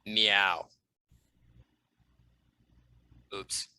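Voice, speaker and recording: A man voicing a wry, mischievous talking cat with a grudging delivery in an animated comedy table read. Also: fairly low pitch, drawn-out words, clean tone, no room sound, full character voice. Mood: sheepish.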